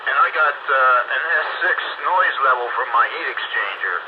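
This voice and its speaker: A man with a halting, plain way of talking, chatting casually over amateur radio. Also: medium pitch, lively intonation. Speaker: amateur radio operator on a group net. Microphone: two-way radio microphone. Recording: muffled, noisy amateur radio transmission.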